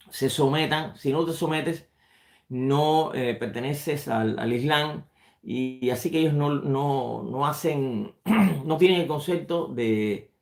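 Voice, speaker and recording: Gravelly-voiced man teaching in an echoey room. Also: medium pitch, a little mellow. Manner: halting, repeats words while searching for them. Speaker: rabbi teaching a study class. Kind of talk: unscripted religious lesson.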